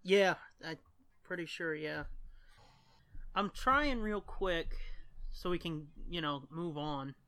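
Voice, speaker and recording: A man's voice: fairly high pitch, takes it slowly, pauses often, sharp tone, clear, resonant tone, no echo. A man co-hosting a podcast in casual, informal chat, with plenty of profanity. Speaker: podcast co-host.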